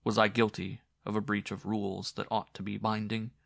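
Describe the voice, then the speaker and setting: Deep-voiced man narrating a dark story. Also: little pitch movement, normal speed, gravelly, no room sound, clean studio sound. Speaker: audiobook narrator. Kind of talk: dramatic scripted horror story narration.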